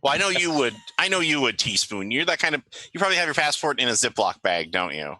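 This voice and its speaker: A man plays a female government agent running a briefing in a tabletop game. The delivery is halting, wry and businesslike, with a very bright, forceful tone in a dry, close recording. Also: medium pitch, very animated, dry recording, heavily acted. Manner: halting, dryly joking.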